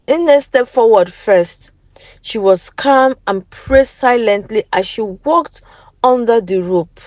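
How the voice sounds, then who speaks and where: Nigerian woman, folktale storyteller, high voice, lively swooping delivery, dry close sound. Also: dry recording. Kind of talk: dramatic folktale narration.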